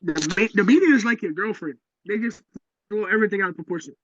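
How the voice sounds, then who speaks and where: Slangy dude on a podcast, high bright voice, real emphatic, kinda muffled lo-fi audio. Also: male voice, animated, fast. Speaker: podcast co-host. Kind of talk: casual opinion chat. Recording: dull lo-fi sound.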